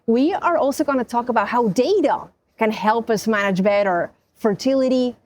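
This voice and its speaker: A woman hosting a health podcast, her voice pitched a touch high. She talks with eager, effusive enthusiasm, in easy conversational interview form. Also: moderate pace, a little mellow. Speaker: podcast host.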